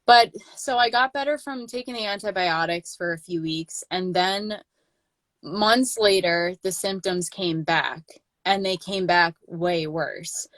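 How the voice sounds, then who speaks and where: A woman's voice: fairly low pitch, measured pace, fairly bright tone, no room sound. A woman in her late twenties hosting a casual livestream chat, talking loosely with many fillers and restarts. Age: 20s. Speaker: channel host.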